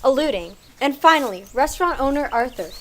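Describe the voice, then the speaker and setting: Woman as a high-voiced 1920s street reporter. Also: animated, a little fast, slight background noise. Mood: polite, inquiring. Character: polite female 1920s street reporter interviewing locals. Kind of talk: scripted news interview.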